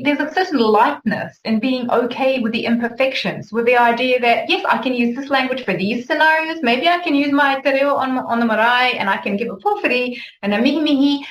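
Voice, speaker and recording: Romanian-born woman linguist, kinda high voice, echoey room, mic a bit far off. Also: normal speed, fairly bright tone, a little hiss or hum. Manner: thoughtful, repeats words while thinking. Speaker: linguist and researcher. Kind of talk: interview talk. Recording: roomy echo, distant mic.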